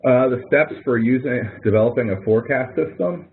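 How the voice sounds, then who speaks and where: A man's voice: medium pitch, a little fast. A man leading an instructional webinar, explaining things informally in a conversational, coaching way and often repeating phrases. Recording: thin phone-like webinar audio.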